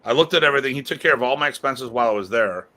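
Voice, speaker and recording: A man co-hosting a podcast in casual conversation, talking in an animated, emphatic way with casual swearing. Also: medium pitch, very fast. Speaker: podcast co-host.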